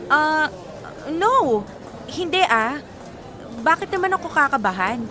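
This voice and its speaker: A woman's voice: sits high, big pitch swings, slow, a crowd in the background, background noise, heavily acted. A woman playing a nervous, bashful young woman in a radio drama, flustered and hesitant as she hides her feelings. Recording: music bed under the voice.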